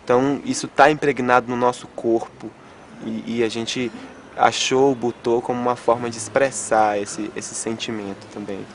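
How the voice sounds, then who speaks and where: Um homem de Goiânia, artista de teatro, falando de forma pensativa numa entrevista e perguntando "né?" a toda hora. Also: male voice, mid-range voice, steady tone, normal speed, a little hiss or hum. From Goiânia, Brazil. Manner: thoughtful, checks in with "né?" often. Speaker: theatre artist. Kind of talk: reflective interview.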